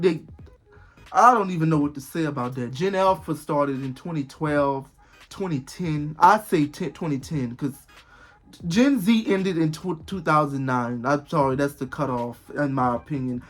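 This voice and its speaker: Rambling twenty-year-old man vlogging. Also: a little high. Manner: rambling, repetitive, exclaiming in disbelief. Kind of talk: casual talk to camera.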